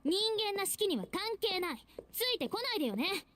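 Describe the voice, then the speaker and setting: A woman's voice: very high voice, very animated, very fast, fairly bright tone, slight background noise, full character voice. A woman playing a huffy, haughty young vampire woman in a Japanese visual novel, curt and pouty. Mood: pouty, offended.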